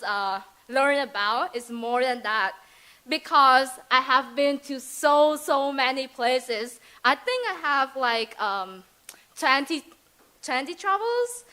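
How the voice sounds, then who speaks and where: A woman's voice: sits high, sharp tone, smooth. A young woman from Vietnam with a Vietnamese accent, giving a chatty, hesitant student presentation full of fillers and giggles. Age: young adult. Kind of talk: presentation speech.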